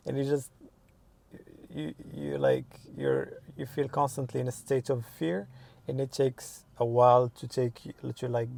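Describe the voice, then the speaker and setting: A man's voice: medium pitch, creaky, dark tone. A man in his twenties from Morocco, answering interview questions casually, with many restarts and "like"s. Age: 20s. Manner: hesitant, restarts often, uses "like" and "you know". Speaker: interview guest.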